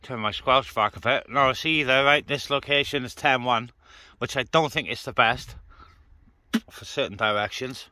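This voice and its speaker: A man's voice: medium pitch, moderate pace, pushed and bright. A man chatting casually and colloquially to a handheld camera outdoors, as a CB radio hobbyist making a vlog. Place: outdoors on open, breezy moorland beside a car. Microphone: handheld phone or camera, operator behind it. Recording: noisy outdoor recording, vehicle and ambient noise.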